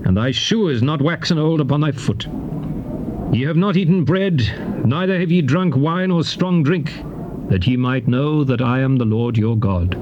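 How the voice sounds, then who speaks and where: A man with a British accent giving a solemn, declamatory dramatized Bible reading in measured phrases. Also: medium pitch, lively intonation, lo-fi sound. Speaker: scripture narrator. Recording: noisy old cassette tape transfer.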